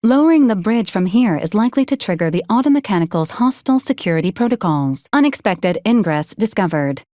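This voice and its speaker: A female robot companion from a video game, acted by a woman, with a medium-pitched voice in a very dry recording. The delivery is formal and deadpan, each phrase clipped and clinically detached, yet always courteous. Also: a little fast, dry recording, lightly acted. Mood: cool, advisory. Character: polite, deadpan female robot companion unit assisting a commander. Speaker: robotic assistant. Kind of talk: scripted video game character lines.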